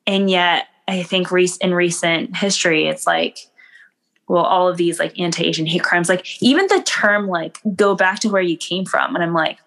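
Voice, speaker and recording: A woman's voice: lowish voice, moderate pace, fairly bright tone. A woman from the United States in casual conversation, chatty and full of fillers, laughing often.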